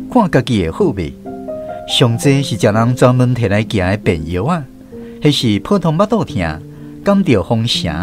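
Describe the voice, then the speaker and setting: Male radio narrator, Taiwanese accent, lively intonation, mid-range voice, scripted storytelling, music underneath. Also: mid-range voice. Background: background music, plucked guitar.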